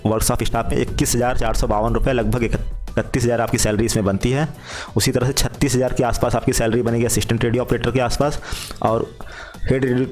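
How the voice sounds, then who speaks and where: Fast-talking man explaining. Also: medium pitch, darkish tone. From India. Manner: instructional, repeats key points for emphasis. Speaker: job exam guidance presenter. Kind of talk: informal explainer narration. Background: background music.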